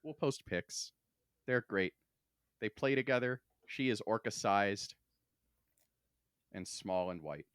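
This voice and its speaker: Male podcast host, low crisp-edged bright voice, dry wit, close dry sound, conversational. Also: slow, long pauses, no echo. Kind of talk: casual podcast chat.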